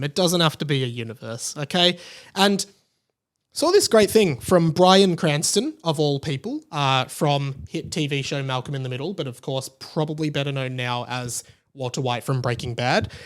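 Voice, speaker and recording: Podcast host, male, Australian accent, fairly high pitch, emphatic and conversational solo commentary. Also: lively intonation, moderate pace. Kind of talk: casual solo pop-culture commentary.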